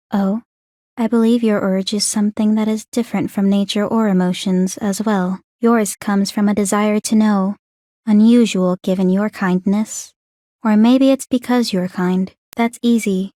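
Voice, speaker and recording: A woman's voice: medium pitch, warm tone, no echo, clean studio sound, close to an everyday voice. A woman playing an aloof, bookish young warrior maiden in a video game, speaking in a composed, formal, precise and detached way. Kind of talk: English video game voice acting.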